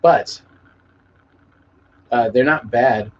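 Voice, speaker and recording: A man's voice: medium pitch, drawn-out words. A man hosting a casual live stream, chatting with viewers in a laid-back, rambling way and swearing freely. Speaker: musician. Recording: muffled lo-fi stream audio with background noise.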